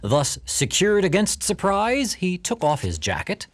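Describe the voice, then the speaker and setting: A man narrating a classic story in audio theatre, with measured, emphatic, expressive delivery. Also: high-pitched.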